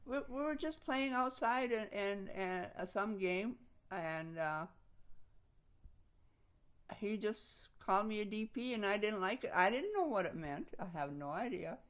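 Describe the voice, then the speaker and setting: An older woman from Slovakia, a native Slovak speaker, casually reminiscing in an interview in a halting way, often repeating her phrases. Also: mid-range voice, animated, clear, resonant tone. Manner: halting, repeats phrases, chuckles while recalling.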